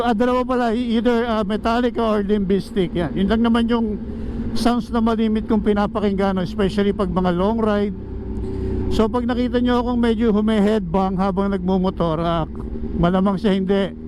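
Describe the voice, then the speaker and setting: A middle-aged or older Filipino man chatting casually to viewers in a rambling vlog style, mixing in English words, recorded on a helmet microphone. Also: sits high. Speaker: motorcycle vlogger. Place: outdoors on and around a motorcycle. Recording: noisy outdoor motorcycle intercom mic, traffic hum.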